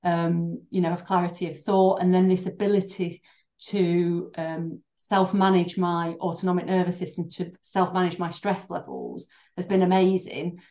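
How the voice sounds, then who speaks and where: A woman's voice: on the low side, level tone, normal speed, warm tone. A middle-aged woman, a doctor and breathing therapist, talking in a podcast interview in an earnest, rambling way with many restarts and fillers. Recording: roomy echo.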